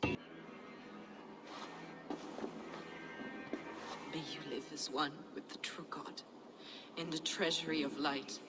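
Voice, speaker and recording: An actress playing an enigmatic, devout heretic woman in a video game, speaking in a measured, cryptic, archaic way. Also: low voice, steady tone, over music, close to an everyday voice. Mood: reverent. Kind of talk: dramatic video game acting. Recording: clear ambient noise behind.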